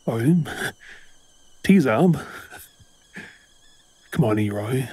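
An Australian man playing a frantic, tender boyfriend fussing over his partner in an intimate audio role-play, stammering with emotion, his voice husky. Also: medium pitch, expressive, very slow, warm tone, dry recording, heavily acted. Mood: shaken, loving.

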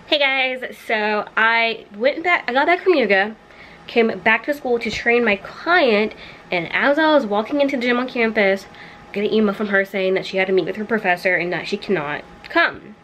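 A young woman chatting casually to camera in a small dorm room, animated and quick with asides. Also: on the high side, a little hiss or hum, rough recording. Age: young adult. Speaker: vlogger. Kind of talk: casual vlog chat to camera. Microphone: handheld camera close up.

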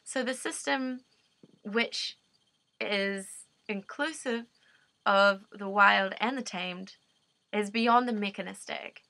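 A young woman with a New Zealand accent talks straight into her laptop webcam from a dim room at home. Her voice is bright and clear, and the sound is dry and close, with no room echo. She explains ideas earnestly and off the cuff, pausing and restarting as she looks for the right words. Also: medium pitch. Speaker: permaculture designer. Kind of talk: unscripted explanatory monologue. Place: dim indoor room, seated. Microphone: laptop webcam at desk.

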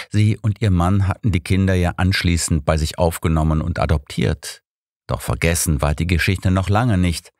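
A man's voice: sits low, a little mellow, no room sound, clean studio sound. A man narrating a detective story as a scripted audiobook.

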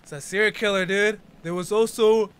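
A man's voice: high voice, steady tone, fairly quick, clear, resonant tone. A man in his twenties reacting casually to a video, reading text aloud and commenting in informal, slangy speech.

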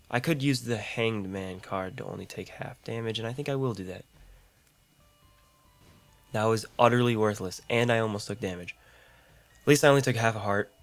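A young man with a deep voice and a slightly rough throat, chatting casually through gameplay commentary into a condenser mic, rambling and self-deprecating, with audible mouth clicks. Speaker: gaming YouTuber. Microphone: large condenser studio mic.

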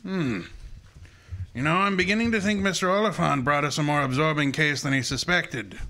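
Pompous great detective for radio play, played by a man, shrewd and formal, crisp bright edge. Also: a little high, normal speed. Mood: dry, grumbling. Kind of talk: radio detective drama acting.

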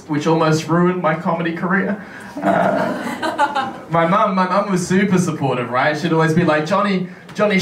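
A young man with a New Zealand accent, a stand-up comedian with a dry, deadpan, self-deprecating delivery, speaking into a handheld mic in a brick comedy club. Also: high voice, echoey room, recorded from a distance, a little hiss or hum. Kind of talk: stand-up set and casual interview. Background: crowd laughter.

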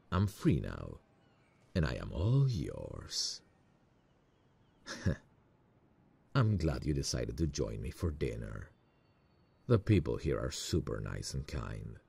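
Romantic ASMR lead: a man playing a nervous, lovestruck male boss; gravelly, expressive swings in pitch, intimate and self-conscious. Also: exaggerated voice. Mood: warm, eager. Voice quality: very deep, gravelly, husky. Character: smitten, nervous male boss on a work trip. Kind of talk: romantic ASMR roleplay acting.

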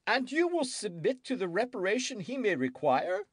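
Casting: male narrator voicing a querulous French king, raised pitch, swooping lines, dry close mic. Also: big pitch swings, moderate pace, slightly rough, no room sound, heavily acted. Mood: pressing. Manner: querulous, demanding, theatrical. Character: petulant suspicious young French king. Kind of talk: audiobook character voice.

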